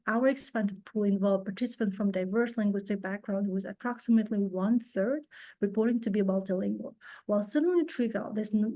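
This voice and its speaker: A woman's voice: mid-range voice, mellow. A young woman from a post-Soviet region, a PhD student, giving a formal, carefully read conference presentation in English, which is not her first language. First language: not English; also speaks Russian.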